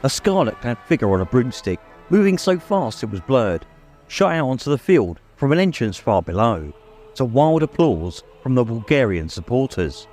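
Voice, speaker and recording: A man narrates an audiobook in an expressive, suspenseful storytelling style. His medium-pitched voice moves with lively intonation, and music plays behind it. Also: moderate pace. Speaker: audiobook reader. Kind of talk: dramatic scripted story narration. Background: music, immersive production.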